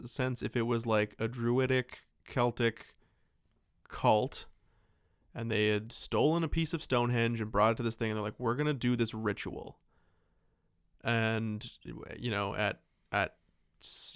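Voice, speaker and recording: A man's voice: mid-range voice, clean tone, no room sound, studio quality. A man co-hosting a podcast, chatting casually about films in a rambling, jokey way with some swearing. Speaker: podcast co-host. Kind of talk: casual film discussion chat.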